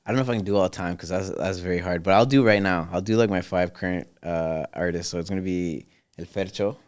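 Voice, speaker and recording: Young man, from Canada, podcast guest, laid-back slangy delivery; low, smooth voice. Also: fast. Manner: laid-back, easygoing, says "like" and "bro" a lot. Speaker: podcast guest, content creator. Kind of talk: casual interview chat.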